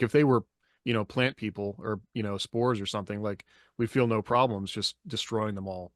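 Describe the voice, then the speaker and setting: Guy podcast host, lowish voice, dry close sound, rambles casually and leans on his points. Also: a bit bright, no echo. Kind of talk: casual opinionated discussion.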